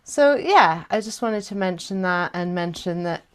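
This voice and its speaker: A woman's voice: fairly low pitch, animated, moderate pace, clean tone. A woman from South Wales with a South Welsh accent, chatting and laughing often as she leads a live online chant workshop over a video call.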